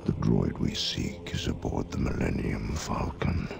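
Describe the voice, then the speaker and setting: A man playing an ancient, menacing dark lord in a video game, grave and commanding, with a gravelly rumbling voice. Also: noisy background, full character voice. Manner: slow, grave, portentous. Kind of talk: cinematic villain acting in game cutscene.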